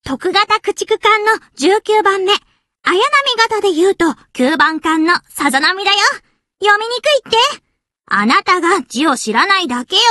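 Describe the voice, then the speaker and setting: A cheeky little anime game girl, extremely high and bright. Her delivery is drawn-out and swoops playfully, cutesy and mock-polite like an impish maid. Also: dry recording, studio quality, heavily acted. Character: cheeky, quirky young warship girl in maid-like servility. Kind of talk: Japanese anime game character lines.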